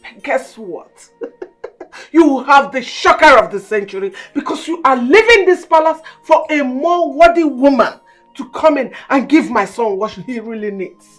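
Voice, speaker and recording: A woman's voice: high voice, expressive, unhurried, soft background music. An actress playing a scornful, scheming middle-aged queen mother-in-law, theatrical and accusatory, in a film melodrama. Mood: scornful, threatening.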